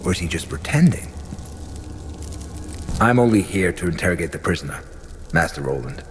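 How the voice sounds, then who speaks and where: A man playing a grim, pious and cynical inquisitor in a video game, talking in a measured, formal, stern way. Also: deep voice, animated, big-room sound, slight background noise. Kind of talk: video game character acting.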